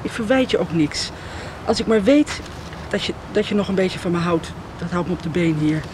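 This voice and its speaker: A woman acting as a weary middle-aged farm wife, yearning and a little bitter. She talks haltingly and tenderly, as in a radio soap, with clear outdoor noise behind her. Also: lowish voice, animated, lightly acted. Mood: tender, sad.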